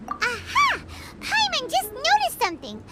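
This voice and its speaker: A woman voicing a tiny childlike fairy companion in a video game, cheeky, excitable and full of exclamations. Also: extremely high pitch, measured pace, heavily acted. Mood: chatty, gleeful. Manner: refers to self in third person, exclamatory. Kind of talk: animated video game voice acting.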